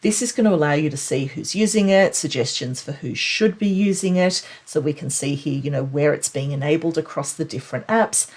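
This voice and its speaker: A woman with a low, husky voice presents tech news in an explanatory recap. Her delivery is conversational, and she stresses the key points as she walks through them. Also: fairly quick, hardly any pauses, a little mellow.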